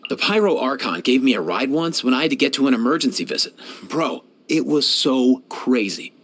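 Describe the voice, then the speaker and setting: An actor playing a kindly, laid-back young veterinarian in a video game, talking in casual slang. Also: male voice, medium pitch, sing-song, moderate pace, a bit bright. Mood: relaxed, friendly. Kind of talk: game voice lines, conversational.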